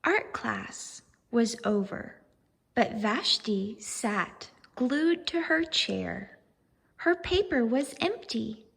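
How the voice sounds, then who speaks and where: A woman reading a storybook aloud to young children as a teacher, expressive and deliberate, pausing to ask questions. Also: on the high side, vocal fry, slightly rough, long reverb tail.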